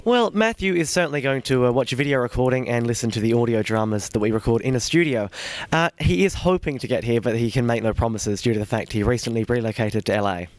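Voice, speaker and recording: A man, a director explaining his production in a brisk, promotional way. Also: medium pitch. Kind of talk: casual interview.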